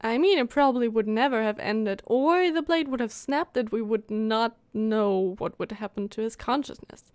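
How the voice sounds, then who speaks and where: A woman's voice: a little high, normal speed, smooth, dry recording, clean studio sound. A woman game streamer giving casual live commentary, musing and reacting aloud as she plays.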